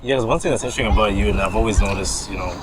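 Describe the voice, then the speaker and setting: A man's voice: lowish voice, racing. A man hosting a sports show, chatting casually as he interviews. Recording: clearly noisy background ambience.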